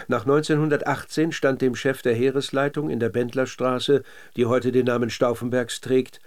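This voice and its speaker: A man narrating scripted documentary radio in a measured, factual manner. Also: mid-range voice, a little mellow.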